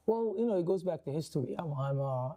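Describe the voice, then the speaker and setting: A man from Somalia, interviewed as an author, speaking earnestly with halting restarts. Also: fairly high pitch, expressive, warm tone. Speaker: author guest. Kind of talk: interview conversation.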